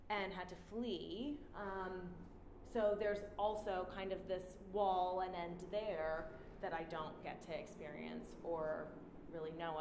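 A woman in her thirties giving an artist talk at a standing mic, relaxed and reflective, pausing often with "um". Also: on the low side. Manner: relaxed, reflective, many "um" pauses. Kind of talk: presenting her artwork with slides. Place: indoor gallery room before an audience. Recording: roomy gallery sound, faint noise.

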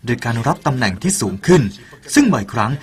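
A man's voice: mid-range voice, sing-song, fast talker. A man narrating a parable in an earnest, persuasive way and speaking directly to the listener. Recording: soft music behind the voice.

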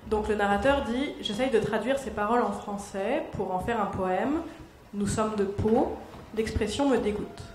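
A woman moderates a literary panel, reading slowly and carefully and then putting her question. Her voice sits at a middle pitch. The handheld mic catches the echo of a small hall and a faint hiss. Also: darkish tone. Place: small hall stage with audience. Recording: roomy hall sound, faint noise.

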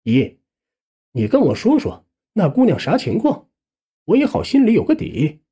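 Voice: medium pitch, wildly swooping pitch, moderate pace, dark tone, husky. A narrator voicing a reluctant young nephew in an audio story, colloquial and given to complaining. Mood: curious.

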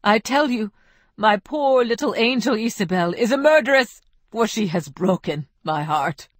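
A mournful, self-pitying painter in a read-aloud story, lamenting in a doleful voice, put on by a woman narrator. He speaks higher and louder than the narration, with a slightly distant timbre. The recording is dry and close. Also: animated, normal speed, no echo.

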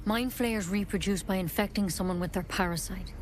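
A woman playing an earnest, knowledgeable druid in a video game, speaking in a frank, measured, explanatory way. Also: medium pitch, a little hiss or hum, lightly acted. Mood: grave, explaining. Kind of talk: video game dialogue acting.

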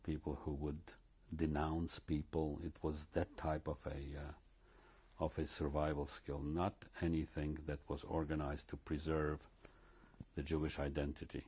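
An older man speaks in a deep, slightly husky voice. He recounts memories in a measured, reflective way, pausing and restarting often. He is recorded on a lapel mic in a living room. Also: completely flat delivery, a little mellow. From Poland. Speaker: interviewee. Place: living room, seated before curtains.

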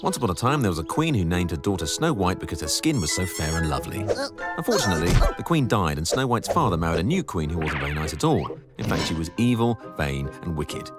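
A male narrator races through a fairy tale in a low voice with lively intonation. The comic storybook reading is quick and scripted. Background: loud music.

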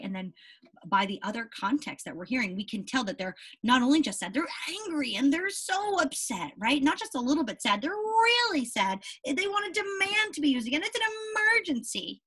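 Young female teacher, higher-pitched and slightly husky, unhurried storybook read-aloud for kids. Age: young adult. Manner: animated, deliberate storybook reading with questions to the listeners.

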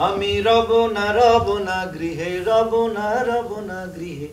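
A woman's voice: mid-range voice, racing, soft background music. A woman singing folk song as a singer in a stage play. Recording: distant, echoey theatre recording.

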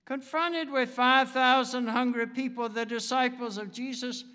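An American man in his seventies preaching a sermon from a pulpit in a deliberate, emphatic manner with dramatic pauses. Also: extremely high pitch, steady tone, sharp tone, clear, resonant tone. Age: 70s. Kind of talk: sermon with anecdotes. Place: church sanctuary, speaking from the pulpit. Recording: reverberant church hall, long echo.

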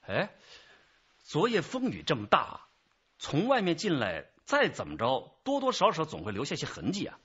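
A man's voice: a little high, huge pitch swings, normal speed, a bit bright, husky, dull audio, heavily acted. A man playing a commanding detective chief with a probing, authoritative manner in a radio crime drama. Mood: puzzled. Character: commanding male police detective chief leading an investigation.

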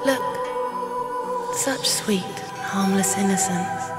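Sad young ghost lady from a video game, soft breathy solemn, big echo, music behind. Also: sits high, wildly swooping pitch, extremely slow, heavily acted. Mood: gentle, weary. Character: gentle, sorrowful young female ghostly spirit. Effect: long ghostly reverb. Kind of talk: dramatic video game voice acting. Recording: cavernous reverb, music behind.

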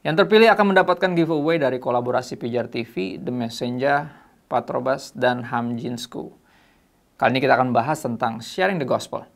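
Guy in his 30s, gravelly voice, casual bible teaching, reads verses then chats about them. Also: medium pitch, fairly quick. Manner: reads scripture aloud between casual remarks. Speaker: host. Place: small dark studio set, indoors.

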